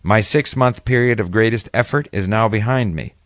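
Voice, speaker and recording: An older man reads his own memoir in a measured, reflective way. His voice sits fairly low, and the recording is dry and close, with no room sound. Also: no room sound. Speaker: author reading his memoir. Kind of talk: first-person read narration.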